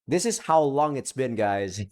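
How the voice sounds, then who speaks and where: A man's voice: medium pitch, expressive, a little slow, a little mellow. A young man talking casually to his viewers from his room at home, rambling, cutting himself off and swearing lightly while he searches his game library. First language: not Japanese. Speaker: visual novel let's play creator. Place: home room with several monitors.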